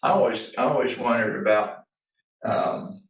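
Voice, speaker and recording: A man's voice: medium pitch, normal speed. A man in his sixties, a former town administrator, talking casually on a panel with many fillers and restarts. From Arlington, Virginia. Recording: echoey room from far off.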